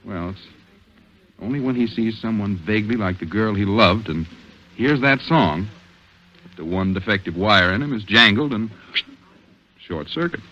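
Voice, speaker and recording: An actor playing an earnest, worried police detective in 1940s radio drama style, brooding and terse. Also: male voice, fairly low pitch, animated, moderate pace, pauses often. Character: earnest, worried male police detective confiding in his sweetheart. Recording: dull vintage radio recording.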